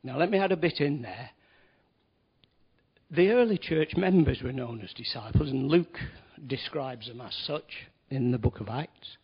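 Husky-voiced man preaching, dull lo-fi sound. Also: medium pitch, measured pace. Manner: chatty, wry asides, emphatic stresses. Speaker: lay preacher. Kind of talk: conversational sermon. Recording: dull lo-fi recording.

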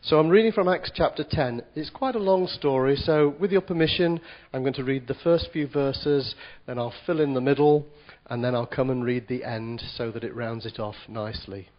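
Husky man preaching with a cold. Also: medium pitch, normal speed, dull audio. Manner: self-deprecating, chatty with stammered restarts. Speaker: visiting preacher. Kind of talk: sermon.

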